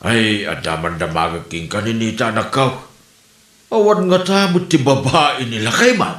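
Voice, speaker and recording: A teasing, talkative old father with an Ilocano accent, played by a man in a comedy drama. His delivery is very lively in pitch. The room rings with echo around him. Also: normal speed, lightly acted. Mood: teasing, concerned. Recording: echoey room.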